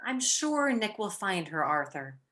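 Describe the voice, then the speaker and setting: An actress playing a poised, wry, clever young wife in a detective radio play. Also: female voice, medium pitch, very animated, measured pace, sharp tone. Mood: composed.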